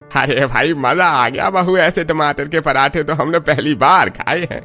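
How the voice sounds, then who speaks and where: A man's voice: fairly high pitch, a little slow, pushed and bright, soft background music. A man playing an appreciative older head of a family in an acted story. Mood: pleased.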